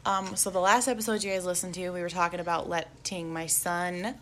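A woman in her thirties co-hosts a podcast, with a low-pitched voice that carries loudly through casual, swearing chat. Her stories come out animated. A faint hiss runs under the recording. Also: brisk. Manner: loud, swearing, animated storyteller. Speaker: podcast co-host.

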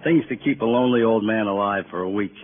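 An actor playing a fussy, impatient neighbourhood grocer in a 1950s radio drama, plain-spoken and repetitive. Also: male voice, on the low side, fast, close to an everyday voice. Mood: insistent, explaining. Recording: tinny, noisy old radio recording.

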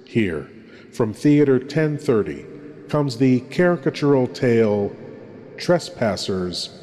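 A man performing a theatrical horror host lord, speaking deliberately and ominously as he introduces radio horror tales. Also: medium pitch, big pitch swings, mellow. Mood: ominous, formal. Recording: long reverberant hall, some noise.

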